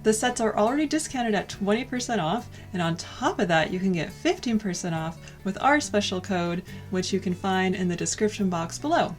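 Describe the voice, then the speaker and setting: American woman, vlog co-host, soft warm chat, light laughter, quiet music underneath. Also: mid-range voice, animated, moderate pace, hardly any pauses. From United States. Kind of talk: casual cozy chat and sponsor read.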